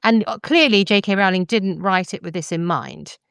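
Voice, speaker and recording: A woman's voice: mid-range voice, normal speed, gravelly, clear, resonant tone, dry recording, studio quality. A woman hosting a podcast, discussing books in an articulate, explanatory way. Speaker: podcast host. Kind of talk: thoughtful podcast book discussion.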